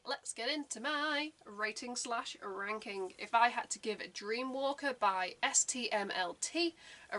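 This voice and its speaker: A young woman talks through her reaction in a loose, rambling way, full of fillers. Her voice sits a little high and sounds bright and forward. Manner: rambling, chatty, many fillers. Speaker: reaction channel host. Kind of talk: casual reaction commentary.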